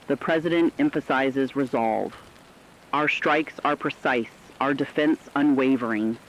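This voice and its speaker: A man's voice: medium pitch, a little slow, a little hiss or hum, natural voice. A man playing a resolute war correspondent, delivering scripted dramatic news in a stern, declamatory way. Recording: dull lo-fi radio sound.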